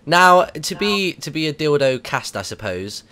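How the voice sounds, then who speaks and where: A man's voice: a little high, sing-song, unhurried, bright, forceful tone, smooth. A man co-hosting a radio show in casual comic banter, jokey and given to exclaiming. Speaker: radio co-host.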